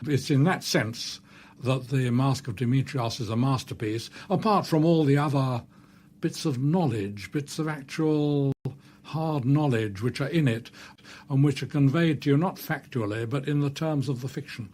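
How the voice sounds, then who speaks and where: A man's voice: mid-range voice, moderate pace, darkish tone. A man giving literary commentary as a critic, emphatic and repeating phrases for weight. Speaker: critic interviewed for a documentary.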